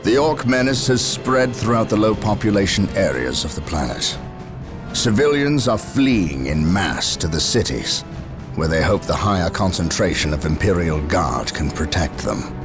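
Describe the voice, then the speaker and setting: An actor playing a stern veteran space marine commander in a video game, with formal, authoritative military diction. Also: male voice, deep voice, big pitch swings, normal speed, music in the background. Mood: grave briefing. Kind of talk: video game cutscene acting.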